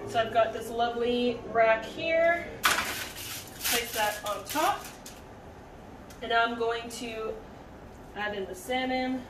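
A young adult woman giving a casual, informal cooking demonstration as a home cook in her kitchen. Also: a little high. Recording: roomy echo, distant mic, background music. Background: music.

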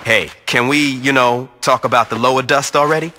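An actor playing a gruff young gang leader in a video game, curt, dry and sarcastic. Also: male voice, medium pitch, steady tone, moderate pace, bright, forceful tone, big-room sound, close to an everyday voice. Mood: sardonic.

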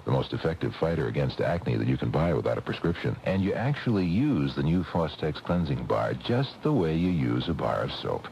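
A man's voice: rumbling low, creaky, mellow. A man reading a scripted radio commercial as an announcer. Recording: dull, noisy old cassette radio recording.

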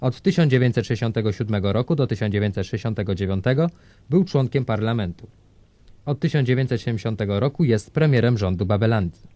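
An actor playing a fawning state broadcast announcer, reading formal news in a reverent, official way. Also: male voice, mid-range voice, dark tone, no room sound, natural voice.

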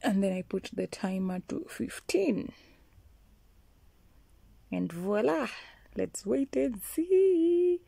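A woman's voice: on the high side, expressive, slow pace, vocal fry, pauses often, dark tone, slightly rough, no room sound. A woman with a Kenyan accent chatting casually and playfully as she narrates a chore, switching languages mid-sentence. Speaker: vlogger. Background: clicking and water sounds.

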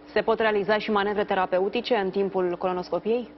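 A woman's voice: medium pitch, fairly even intonation. Woman news presenter, formal and scripted. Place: indoors in a TV studio. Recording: slightly dull sound, faint background noise.

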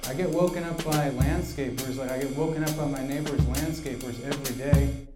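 Male stand-up comic, deadpan and self-deprecating, mid-range voice, roomy bar sound with music behind. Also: medium pitch, fairly quick. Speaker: stand-up comedian. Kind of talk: stand-up comedy set. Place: small dark bar room.

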